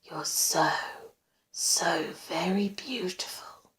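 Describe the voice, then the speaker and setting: A woman voicing a menacing, obsessive male stalker in a soft, breathy whisper, slow and intimate, for a suspense audiobook. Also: mid-range voice, rough recording. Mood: calm, menacing. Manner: slow, commanding yet gentle, intimate.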